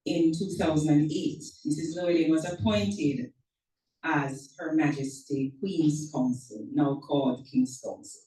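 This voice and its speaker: A woman reads a prepared biography at a club meeting, correcting her own small slips as she goes. Her voice is low and mellow. It is picked up from some distance in an echoey room. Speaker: introducer of the guest speaker. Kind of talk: formal introduction speech.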